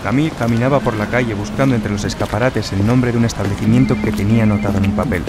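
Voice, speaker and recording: A man's voice: on the low side, fairly even intonation, fast talker, close to an everyday voice. A man narrating a scripted audio drama as its storyteller. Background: loud music.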